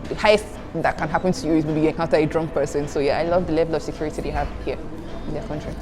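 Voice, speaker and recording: Chatty young woman, lowish voice. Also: steady tone, rapid-fire. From Nigeria. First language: Igbo. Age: young adult. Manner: chatty, addresses viewers as guys, giggles between answers. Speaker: student vlogger answering questions. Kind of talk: casual chat to viewers. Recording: background music under voice.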